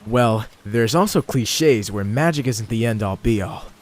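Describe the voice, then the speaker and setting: A man's voice: mid-range voice, animated, a little slow, natural voice. An actor playing a flippant, self-mocking young man stranded in a fantasy world, theatrically muttering to himself as in an anime dub. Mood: wry, boastful. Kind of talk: anime dub internal monologue.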